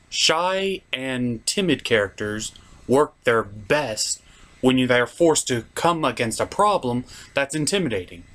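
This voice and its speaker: A man's voice: mid-range voice, fairly bright tone. A man giving a scripted comedic review as host, with an exasperated, sweary, ranting delivery. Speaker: puppet-show reviewer and host.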